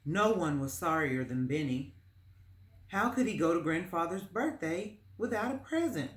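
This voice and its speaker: A woman reading a children's story aloud as narrator, in a measured, expressive storytelling manner. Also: on the low side. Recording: roomy, echoing space.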